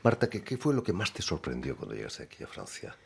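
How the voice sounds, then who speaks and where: A man with a very low, husky voice hosts a radio interview from the studio. His pitch moves a lot as he asks his questions. He introduces his guests in a formal, measured way. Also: huge pitch swings.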